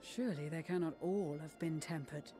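An actor playing a composed young expedition leader in a fantasy game, speaking in a measured, formal and commanding way. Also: mid-range voice, warm tone, soft background music, lightly acted. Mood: thoughtful. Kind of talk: scripted fantasy game dialogue.

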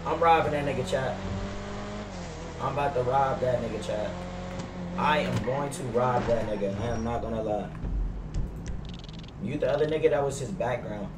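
Young male streamer, slangy street-hustler roleplay chat, roomy distant pickup with clear noise. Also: medium pitch, moderate pace, long pauses. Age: young adult. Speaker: game streamer. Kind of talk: casual in-character GTA roleplay chat. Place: indoors at a gaming setup. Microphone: headset.

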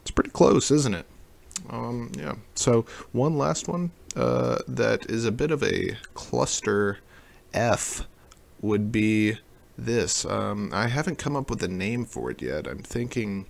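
A man narrating a casual software tutorial in a jokey, slangy way. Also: medium pitch, expressive, slow, vocal fry. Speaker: tutorial host.

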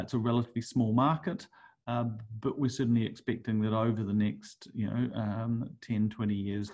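A man's voice: fairly low pitch, darkish tone. A middle-aged man with a New Zealand accent, giving a conference talk over slides, hesitant with many fillers and restarts. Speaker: conference speaker. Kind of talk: webinar presentation over slides.

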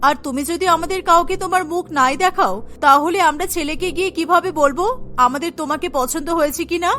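A no-nonsense middle-aged mother, acted by a woman talks fast in a high voice. It is cartoon dialogue over faint music. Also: sharp tone. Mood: brisk, scolding.

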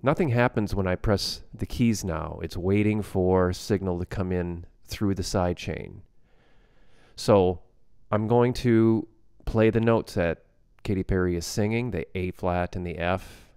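A man giving a casual software tutorial as a music production instructor, explaining plainly step by step. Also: low-pitched, unhurried, clear, resonant tone, no room sound, studio quality.

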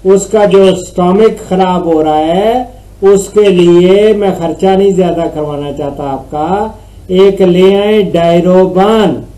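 A man's voice: sits high, takes it slowly, dark tone, poor audio. A middle-aged man from Pakistan giving farm advice to callers in a friendly, joking phone-in chat, recorded on a webcam in a small room. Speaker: agricultural advisor answering callers.

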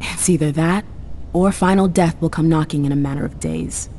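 A woman's voice: low-pitched, moderate pace, creaky, mellow, a little hiss or hum. An actress playing a determined, persuasive female vampire in a video game cutscene, assertive and blunt. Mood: earnest, reassuring.

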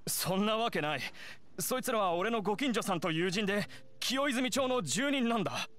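A man's voice: high-pitched, expressive, normal speed, a bit bright, slight background noise. A man voicing an earnest, confused young hunter in an anime game. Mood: bewildered. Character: young male hunter, confused, insistent, lost out of time. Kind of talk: Japanese anime game voice acting.

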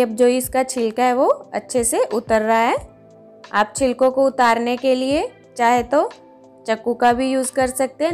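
A woman hosting a home cooking video, patiently explaining each step in a calm instructional voice-over. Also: a little high. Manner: patient, explains each step, often says "dekhiye, lijiye". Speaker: cooking channel host.

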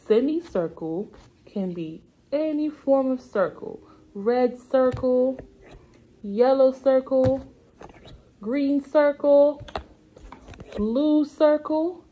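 Woman, young adult, preschool read-aloud, unhurried, slightly husky, simple repetitive phrasing. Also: high-pitched, highs cut off. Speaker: preschool teacher. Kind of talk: children's read-aloud lesson. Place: indoor classroom.

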